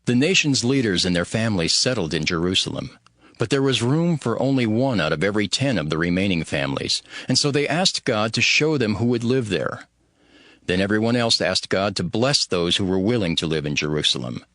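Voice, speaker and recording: A man reading scripture as a scripted audio Bible narration, in a measured, formal way. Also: lowish voice, expressive, a bit bright, no echo, studio quality. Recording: music behind the voice. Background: background music.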